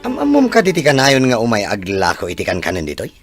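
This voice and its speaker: A man's voice: mid-range voice, wildly swooping pitch, fast talker, music in the background. A man playing a frank young friend in an audio drama, giving advice casually. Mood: curious, persuasive. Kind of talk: Ilocano audio drama acting.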